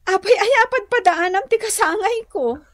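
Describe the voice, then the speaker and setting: A woman with a Filipino accent playing a sweet, modest young office worker in a radio drama, gently playful. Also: very high voice, lively intonation, normal speed, no room sound, clean studio sound. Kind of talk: Ilocano radio drama acting.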